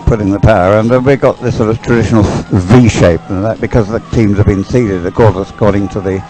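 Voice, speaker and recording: A man's voice: lowish voice, steady tone, fairly quick, warm tone, soft background music, poor audio. An older man giving unscripted live race commentary in a hesitant, musing, explanatory way.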